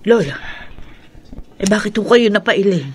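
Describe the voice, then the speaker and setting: A woman's voice: mid-range voice, expressive, a little slow, a little hiss or hum, lightly acted. An actress playing a worried middle-aged mother asking anxious questions about her missing daughter.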